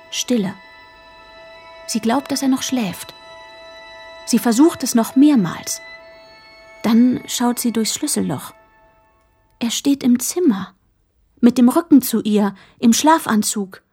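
A detached narrator in a literary radio drama. Her voice is on the high side, over a soft bed of music, and she tells a young woman's story in a measured, matter-of-fact way.

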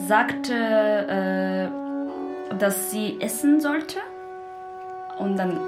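A woman who speaks German as a second language, retelling a story conversationally and searching for words as she goes. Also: medium pitch. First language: not German.

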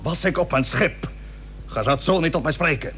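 An actor playing a plucky, stubborn young seafarer and merchant in a period radio drama, speaking earnestly in formal old-fashioned phrases. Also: male voice, on the high side, animated, moderate pace, fairly bright tone. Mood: firm, unyielding. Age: young adult. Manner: earnest, formal archaic speech. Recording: noisy old radio recording with hum.

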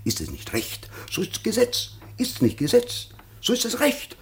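An actor playing a greedy, crafty lawyer with a creaky, breathy voice and a sly, pedantic delivery in a classic German radio play. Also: male voice, high-pitched, wildly swooping pitch, moderate pace, full character voice. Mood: sly, gloating. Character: greedy, crafty male lawyer twisting the law. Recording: faint noise and clicks.

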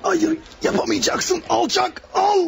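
Creaky, strained brave policeman. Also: extremely high pitch, steady tone, unhurried, noisy background, full character voice. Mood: defiant, pained. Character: brave, dutiful wounded policeman, humble and determined. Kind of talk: radio theatre acting.

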